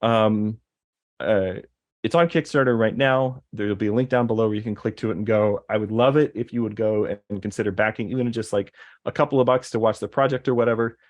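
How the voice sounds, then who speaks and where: A man's voice: fairly low pitch, steady tone, brisk, dull audio. A man in casual conversation, rambling, with many fillers and restarts. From American Deep South. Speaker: guest.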